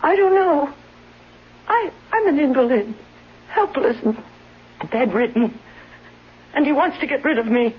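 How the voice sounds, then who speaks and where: A woman's voice: high voice, expressive, unhurried, long pauses. An actress playing a desperate bedridden wife in a 1970s radio drama, pleading and agitated, convinced she is in danger. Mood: urgent pleading. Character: desperate bedridden invalid wife fearing she is being poisoned. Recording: muffled old radio recording.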